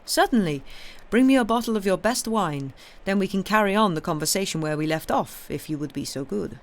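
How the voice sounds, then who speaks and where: A man voicing a formal, probing Italian priest with an Italian accent and measured, courteous speech, in an audiobook. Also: sits high, animated. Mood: courteous, commanding.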